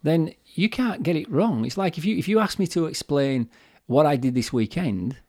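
A man's voice: fairly high pitch, expressive, moderate pace, darkish tone, dry recording, studio quality. A man co-hosting a podcast, explaining his views in a fluent, chatty way with acted-out quotes. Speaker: podcast co-host. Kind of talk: casual chat. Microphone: desk microphone.

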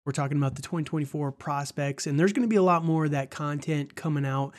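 A man's voice: fairly high pitch, steady tone, fast talker. A man with a cold, sounding stuffed up, hosting a casual sports podcast in a rambling, chatty way and laughing often. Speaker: podcast host.

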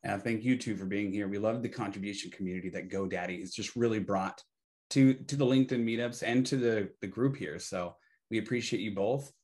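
A man's voice: on the low side, a little fast, a little mellow. A man hosting an online meetup, friendly and thankful, inviting the guests to speak. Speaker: meetup host.

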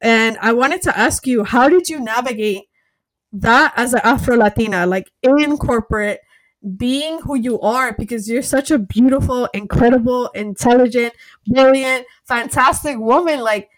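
A woman's voice: fairly high pitch, normal speed, rough recording. A woman from the United States hosting a podcast, chatting casually and switching between Spanish and English. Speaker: podcast host. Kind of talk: casual interview chat.

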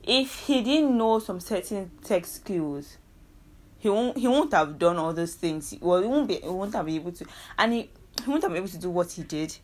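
Earnest Nigerian young woman, lower voice. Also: lively intonation, normal speed. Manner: rambling, self-correcting, earnest and persuasive. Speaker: podcast host. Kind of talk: casual solo monologue.

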